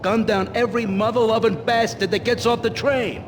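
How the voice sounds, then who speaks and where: A man's voice: high-pitched, steady tone, moderate pace, in a large hall, background noise, lightly acted. A man voicing a brash male mobster thug who speaks bluntly and menacingly.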